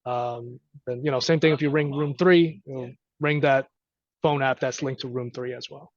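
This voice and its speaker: A man giving an informal, halting technical walkthrough as a trainer, conversational and often checking in with listeners. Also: medium pitch, steady tone, no room sound, lo-fi sound. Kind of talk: informal screen-share software walkthrough.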